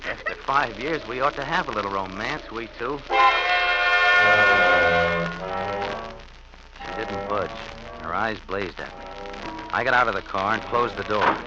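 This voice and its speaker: An American actor playing a cold, unhinged wife-killer who confesses with jittery menace in a vintage radio thriller. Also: male voice, on the low side, normal speed, natural voice. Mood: brooding menace. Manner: menacing, taunting endearments. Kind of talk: 1940s-50s radio thriller acting, first-person narration. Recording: muffled vintage radio recording, loud music behind.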